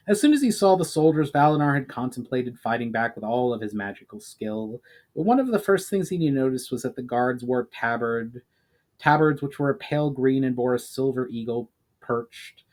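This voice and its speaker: A man's voice: mid-range voice, darkish tone. A man giving a dramatic narrated reading of his own fantasy story, occasionally stumbling and re-reading a phrase. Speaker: author reading own book, channel host. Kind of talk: dramatic audiobook-style narration.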